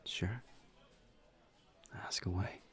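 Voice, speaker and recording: A man playing a gentle, reserved incubus in an intimate audio roleplay, speaking softly and sincerely in a breathy near-whisper. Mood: relaxed, amused. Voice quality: breathy, soft, near-whispered, gravelly low voice. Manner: slow, halting, tender and sincere. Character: gentle, earnest, reserved male incubus demon friend. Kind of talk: intimate audio roleplay, one side of a conversation.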